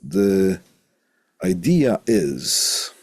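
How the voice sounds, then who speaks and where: A man with a very low voice and a husky edge, lecturing informally as a college instructor. He explains deliberately, circling back over phrases and asking questions that he then answers. The sound is close and dry. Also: sing-song, dry recording. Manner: deliberate, repeats phrases and poses questions to himself.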